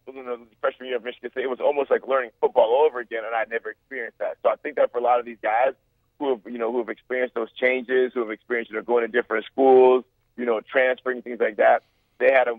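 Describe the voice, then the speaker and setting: A man's voice: medium pitch, no room sound. Earnest young man on a phone line. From Zimbabwe. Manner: earnest, rambling with many restarts. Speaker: guest. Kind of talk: phone-in interview.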